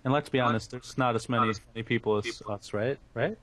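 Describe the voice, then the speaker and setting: A man's voice: mid-range voice, a little fast, fairly bright tone. A man hosting a podcast, talking in a chatty, informal way like a fan among friends. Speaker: podcast host. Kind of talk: casual podcast chat.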